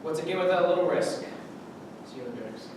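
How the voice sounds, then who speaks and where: An actor playing a defiant, wry Russian officer held captive, with a dry teasing manner in a staged reading. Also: male voice, animated, fast talker, close to an everyday voice. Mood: wry calm. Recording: very echoey room, distant mic, noisy rumble.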